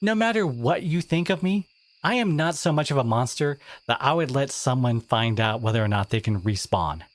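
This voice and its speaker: Someone acting a brash, exasperated teenage bully, wildly animated. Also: mid-range voice, moderate pace, no room sound, close to an everyday voice. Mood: indignant, defensive. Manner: exasperated, confrontational, sighing, emphatic. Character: brash teenage school bully, abrasive but secretly caring. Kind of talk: dramatic audio roleplay monologue addressed to listener.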